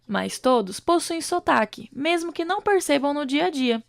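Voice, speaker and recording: A woman with a Brazilian Portuguese accent, a student reporter, reading a scripted news voice-over in a measured, clear way. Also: high-pitched, expressive.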